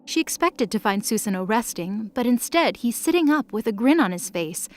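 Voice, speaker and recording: A woman's voice: fairly high pitch, expressive, normal speed. A woman reading scripted narration for a dramatic mythological story.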